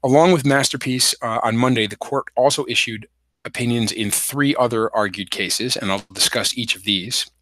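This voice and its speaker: A man hosting a solo legal explainer livestream, hoarse from a cold, talking with many fillers and restarts. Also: mid-range voice, steady tone, moderate pace, fairly bright tone, a little hiss or hum. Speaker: podcast host.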